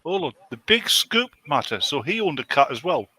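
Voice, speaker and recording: A man's voice: fairly high pitch, sing-song, normal speed, bright, forceful tone, slightly rough, dry recording. A man co-hosting, making casual, dry wisecracks over a radio show. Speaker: co-host.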